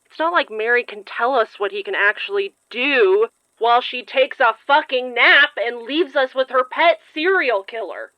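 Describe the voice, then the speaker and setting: Actress as sharp-tongued young woman, dry muffled sound. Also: a little high, moderate pace, dry recording, natural voice. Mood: sarcastic, stressed. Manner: sarcastic, swearing, exasperated. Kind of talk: audio drama acting.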